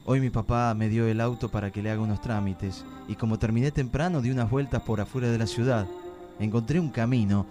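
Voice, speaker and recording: Guy playing a gallant young boyfriend, calming his girl, radio play, middle voice, bit of music under. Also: medium pitch, a little fast, natural voice. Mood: soothing, romantic. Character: reassuring young 1950s suitor, romantic gallant. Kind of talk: amateur radio play dialogue.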